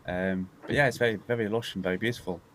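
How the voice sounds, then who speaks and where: A male guest speaking softly and hesitantly in a casual chat. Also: lowish voice, fairly even intonation, fast talker, a crowd in the background.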